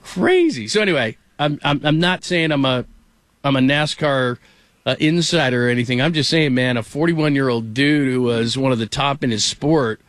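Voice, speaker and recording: A middle-aged man hosting a radio show, telling a story in a rambling, animated way with lots of restarts. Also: medium pitch, normal speed, no room sound. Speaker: radio host. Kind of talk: casual talk radio chat.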